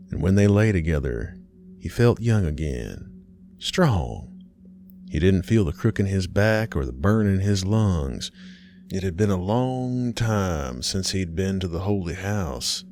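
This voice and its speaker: An American man narrating a scripted horror tale in a deliberate, ominous, folksy campfire storytelling manner. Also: deep voice, darkish tone, a little hiss or hum. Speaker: storyteller host of a horror series. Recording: music bed behind the voice. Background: music.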